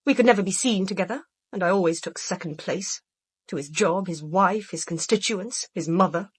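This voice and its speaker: An actress playing a proud, wounded mistress in a radio drama, guarded and bitter yet controlled. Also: female voice, lowish voice, normal speed, husky, dry recording, studio quality. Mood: proud, defiant.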